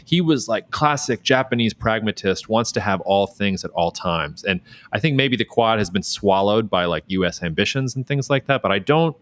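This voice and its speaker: A man hosting a podcast, chatting in a relaxed, jokey way with lots of fillers. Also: fairly low pitch, moderate pace, hardly any pauses. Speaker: podcast host. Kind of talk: casual podcast conversation.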